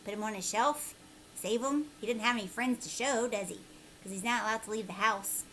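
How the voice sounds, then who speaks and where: A woman teacher doing a children's read-aloud, expressive and conversational, often putting questions to her listeners. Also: on the high side, fairly quick, a little hiss or hum, low-quality recording.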